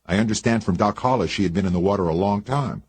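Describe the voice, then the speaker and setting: Chatty young reporter girl, cheerful, husky tone, dry sound, as a man puts her on. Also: lowish voice, fast talker, a little mellow, no echo, voice pushed up. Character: cheerful young woman small-town reporter.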